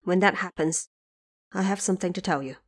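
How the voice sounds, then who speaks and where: A woman voicing a grieving noble mother who speaks in a hushed, urgent way in an audio drama. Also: fairly low pitch, brisk, husky. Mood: hushed, anxious.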